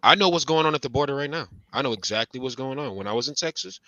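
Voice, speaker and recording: A man from Chicago, raised in Indiana, talking in a casual conversation, speaking in fragments with restarts and getting animated when he makes a point. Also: quick pace, no room sound, dull audio. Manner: animated, rises in pitch when making a point.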